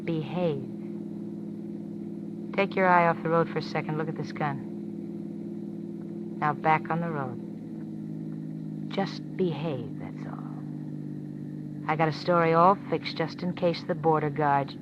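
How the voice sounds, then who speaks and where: An actress playing a cool, threatening young femme fatale with clipped, mocking delivery, in 1950s radio thriller style. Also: female voice, low-pitched, steady tone, a little slow, long pauses. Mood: tense, warning. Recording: muffled, noisy 1950s radio recording.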